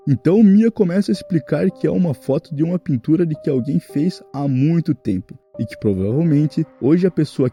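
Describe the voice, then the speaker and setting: Man, Brazilian Portuguese accent, horror narration, deep-shaded mellow timbre, animated intonation, suspenseful delivery. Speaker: storytelling narrator. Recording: music behind the voice. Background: background music.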